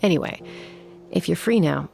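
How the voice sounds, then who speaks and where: Laid-back game heroine: self-assured, candid, low register with gravelly fry. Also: female voice, expressive, normal speed, a little mellow, a little hiss or hum. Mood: frank, reassuring. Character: cool, perceptive young woman, laid-back warrior peacemaker. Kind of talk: video game dialogue.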